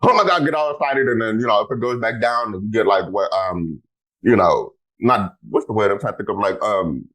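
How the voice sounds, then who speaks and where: A man hosting a casual podcast, talking in an animated way, exclaiming loudly and speaking straight to his listeners. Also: on the low side, fairly quick, rough recording. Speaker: podcast host.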